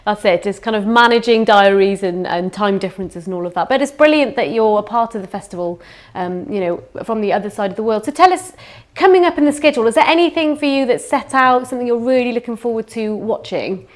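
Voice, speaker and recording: A woman presents a live festival show with bubbly, upbeat energy. Her voice sits a little high for a woman, bright and friendly in tone. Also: fast. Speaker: festival host. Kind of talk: live online festival hosting.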